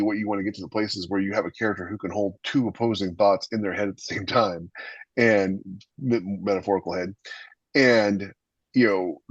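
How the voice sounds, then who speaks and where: A man with a husky voice explaining his views on a podcast in a casual but emphatic, opinionated way. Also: fairly low pitch. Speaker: podcast host and author. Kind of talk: casual writing discussion. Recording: roomy, slightly dull lo-fi microphone.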